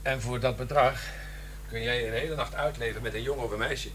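An actor playing a worldly Dutch expatriate guide in a radio drama, explaining things bluntly. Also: male voice, medium pitch, steady tone, a little fast, fairly bright tone, close to an everyday voice. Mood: blunt, helpful. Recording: clear background noise and hum.

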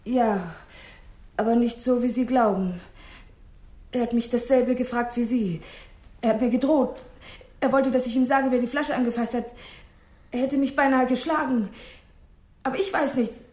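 A woman's voice: fairly high pitch, fairly even intonation, normal speed, pauses often, darkish tone, lightly acted. A woman playing a meek, guarded young waitress who answers hesitantly in a 1960s German radio crime drama. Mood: anxious, defensive. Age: young adult. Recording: roomy early-1960s radio studio recording.

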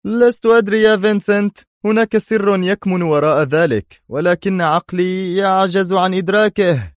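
A man putting on a shrill furious collector. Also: moderate pace, dry recording, studio quality, lightly acted. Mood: angry, agitated. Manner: shouting, explosive, high-strung. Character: furious excitable foreign male art collector.

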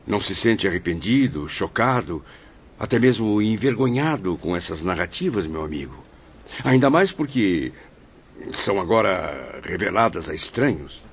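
Actor as a deep, probing male pupil. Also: sing-song, moderate pace. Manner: formal, pointed questioning. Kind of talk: radio drama acting. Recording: dull, faintly noisy audio.

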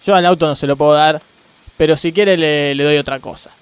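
Man acting stubborn car mechanic, Argentine accent, slightly high pitch, smooth clear tone, evasive, hesitant, repetitive, radio comedy. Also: fairly even intonation, a little fast, no room sound, close to an everyday voice. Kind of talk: improvised-sounding radio comedy sketch.

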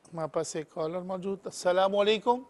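A middle-aged male hakeem hosts a call-in health show. His voice sits high for a man, and he fires off short routine questions in a brisk way. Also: darkish tone. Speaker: hakeem host answering callers.

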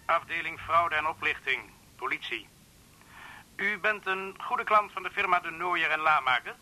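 An actor playing a formal, insistent police fraud detective, speaking curtly over the telephone in a radio drama. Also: male voice, a little high, lively intonation, moderate pace, bright, forceful tone. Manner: businesslike, formal, curt. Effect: telephone voice filter. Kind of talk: Dutch radio drama acting. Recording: tinny telephone line.

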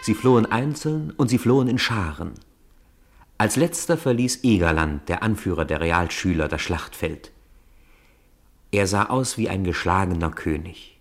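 A man narrating a radio play in a measured, storytelling manner. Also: sits low, lively intonation.

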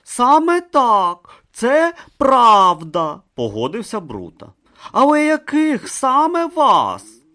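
A man voicing a slow-thinking, timid young male priest in a storybook reading. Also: very high-pitched, wildly swooping pitch, bright, forceful tone, voice pushed up, heavily acted.

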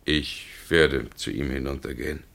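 A man's voice: a bass voice, fairly even intonation, normal speed, creaky, a bit bright, full character voice. An actor playing a brooding, reluctant man pressed into murder, grave and formal, in a solemn 1960s radio play. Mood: resigned.

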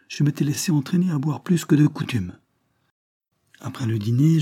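A man's voice: mid-range voice, dark tone, slightly rough. A man voicing an agitated man confessing a crime to his lover, exalted and dramatic, as in an audiobook. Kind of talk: dramatic audiobook character reading.

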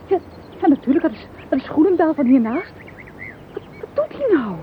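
A panicking, scheming woman in an old radio drama. Her very high voice swoops about as she stammers breathlessly in agitation. The recording is narrow and hissy. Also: animated, fairly quick. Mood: frantic. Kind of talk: radio play acting.

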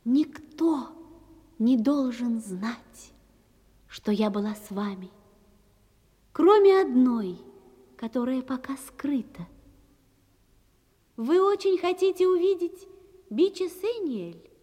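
An actress playing a gentle, mysterious young phantom woman in an audio drama, speaking solemnly in measured, poetic phrases. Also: high-pitched, animated, exaggerated voice. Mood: solemn, reassuring. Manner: solemn, measured, with drawn-out pauses. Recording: long cavernous reverb under music.

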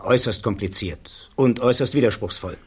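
An actor playing a blunt, confident army security officer who testifies in clipped, matter-of-fact answers in a radio play. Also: male voice, mid-range voice, brisk, breathy edge. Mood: firm. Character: blunt, self-assured male army colonel, security officer.

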